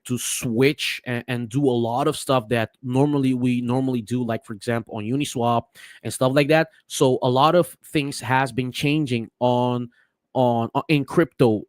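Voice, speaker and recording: A man whose first languages are Papiamento and Spanish, explaining crypto trades in a halting way with many restarts as a vlogger. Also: mid-range voice, no room sound, clean studio sound. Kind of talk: casual screen-share talk.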